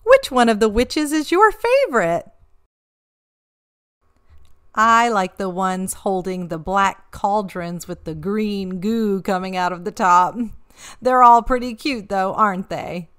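A middle-aged woman hosting a children's read-aloud, playfully leading young listeners through counting and asking them questions in an animated sing-song way. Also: medium pitch, takes it slowly. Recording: roomy echo, distant mic, music behind.

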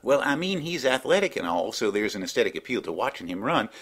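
A man's voice: mid-range voice, fast talker. A man playing an unflappable, obtuse and folksy rustic in an absurdist radio comedy. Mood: pedantic. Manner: folksy, deadpan wordplay. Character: obtuse, unflappable rustic country buddy, cheerfully pedantic.

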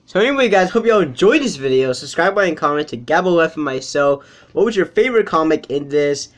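A man's voice: on the high side, big pitch swings, a little fast. A young man hosting a reaction video with casual commentary. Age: young adult. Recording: loud video music behind the voice.

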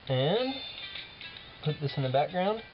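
A man giving an informal software demo as the app's developer, talking casually and haltingly as he thinks aloud. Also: on the high side, big pitch swings. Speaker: app developer presenting a demo. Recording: dull lo-fi camera audio, music behind.

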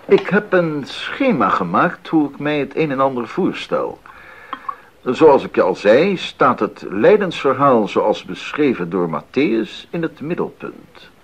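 A man's voice: mid-range voice, big pitch swings, measured pace, slight background noise. An actor playing an earnest, devout male composer in a 1980s radio drama, speaking in a formal, emphatic way. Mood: thoughtful, earnest. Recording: slightly dull 1980s radio recording.

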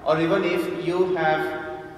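A man delivers a homily at a very slow, deliberate pace, leaning on repetition and rhetorical questions. He sounds far from the microphone in a large church, with a long, heavy echo around his words. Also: level tone. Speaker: priest preaching. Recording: echoey church, distant mic, faint rumbling noise.

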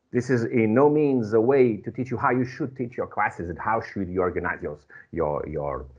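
A man with a Portuguese accent, a teacher presenting in a webinar, talking haltingly with many restarts and fillers over a video call. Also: fairly low pitch, husky. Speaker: guest speaker, teacher. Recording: dull lo-fi video call audio.

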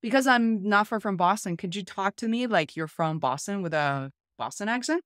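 A woman's voice: mid-range voice, lively intonation, moderate pace, fairly bright tone, dry recording. Chatty woman host, dry close sound. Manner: chatty, thinking aloud. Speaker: host demonstrating an app. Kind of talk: casual chat to camera and phone. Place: small home room, indoors.

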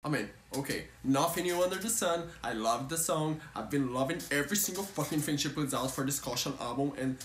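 A young man from Brazil, speaking English with a Brazilian accent, chatting playfully as a music reaction vlogger, swearing casually and breaking into high excited squeals. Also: fairly quick, few pauses, a little hiss or hum. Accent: Brazilian Portuguese. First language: Portuguese. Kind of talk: casual chat. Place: indoor room with a pink backdrop. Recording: roomy echo with music behind.

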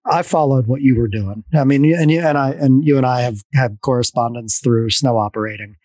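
A man's voice: mid-range voice, a little fast, dry recording. Chatty middle-aged man host, dry sound. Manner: rambling, animated storytelling with restarts. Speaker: podcast host. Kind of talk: casual video-call conversation. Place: dim home room. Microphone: headset on a video call.

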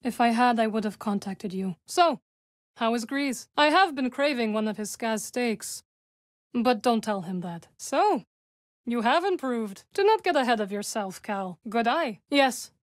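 An actress voicing a composed, dry-witted young witch warrior in a video game, speaking in measured, formal phrases. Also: fairly high pitch, animated, dry recording. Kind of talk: video game character dialogue.

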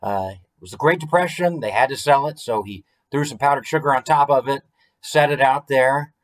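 A man in his forties with an American accent, hosting a story time in an expressive, conversational way with chatty asides. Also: mid-range voice, moderate pace. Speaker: author reading his picture book. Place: small room at home, indoors.

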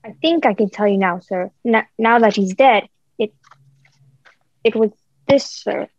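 A woman's voice: medium pitch, unhurried. A young woman playing a nervous, polite boy who stammers, in an amateur radio play. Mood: timid. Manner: stammering, deferential. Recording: dull lo-fi remote home recording.

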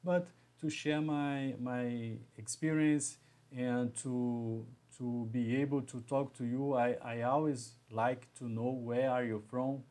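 A man in his thirties with a Brazilian Portuguese accent, speaking in a middle-pitched voice. He talks to live viewers slowly and haltingly, often repeating a word before going on. He sits at a webcam in a small room at home. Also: steady tone, a little mellow. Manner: halting, with frequent restarts and repeated words. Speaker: engineering educator hosting a live session. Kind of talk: live stream talk to viewers.

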